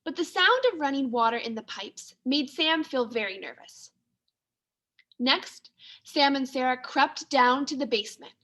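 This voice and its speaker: A woman teacher reading a children's story aloud in an expressive, clearly paced manner. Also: high-pitched, measured pace. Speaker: second grade teacher.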